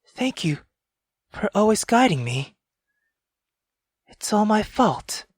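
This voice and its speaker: A man voicing a timid young girl in a visual novel, speaking softly and apologetically. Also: high voice, very animated, slow pace, husky, no room sound, clean studio sound, full character voice. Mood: meek pleading. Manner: soft, pleading and apologetic.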